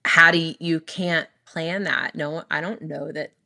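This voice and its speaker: Young woman singer chatting in an interview, lowish voice but really bright, quick and animated, keeps restarting. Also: creaky. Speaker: worship singer and recording artist. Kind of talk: interview storytelling.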